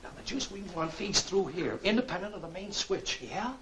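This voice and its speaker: High-pitched, cocky gangster. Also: male voice, expressive, normal speed. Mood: businesslike. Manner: slangy, curt, cocky. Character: brash, distrustful gangster henchman, slangy tough guy. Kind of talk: 1940s film serial acting. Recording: noisy, crackly 1940s film soundtrack.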